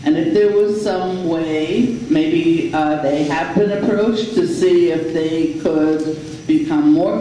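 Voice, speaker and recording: Man, audience question, hesitant, slightly high voice, mellow, echoey hall far off. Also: slight background noise. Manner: hesitant, many uh fillers. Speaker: audience member asking a question. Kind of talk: question from the floor.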